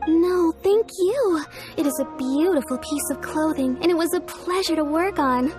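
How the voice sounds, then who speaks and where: A woman's voice: very high voice, normal speed, over music. A woman voicing a courteous shopkeeper in a video game, speaking politely. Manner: polite, service-minded.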